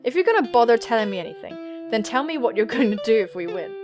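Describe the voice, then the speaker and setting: A woman's voice: fairly high pitch, sing-song, fast talker, over music. A woman voicing a snobby, curt young woman photographer in a visual novel game, with a haughty, grudging manner. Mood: challenging.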